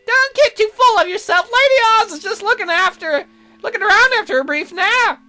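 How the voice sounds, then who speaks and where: A man voicing an excitable, devoted bird attendant in a visual novel, flustered and deferential. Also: very high voice, a little fast, pitched above the performer's own voice, full character voice. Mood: protective. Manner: flustered, deferential, easily indignant.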